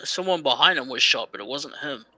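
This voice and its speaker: A man's voice: mid-range voice, lively intonation, a little fast, pushed and bright. A man with a New Zealand accent bantering casually as a podcast host.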